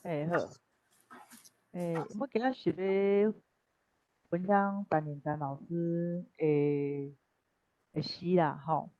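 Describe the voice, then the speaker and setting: Female guest presenter, Taiwanese accent, mellow and unhurried, on a muffled lo-fi connection. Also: on the low side, steady tone. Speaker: guest speaker at literary forum. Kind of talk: informal presentation. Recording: dull lo-fi online connection.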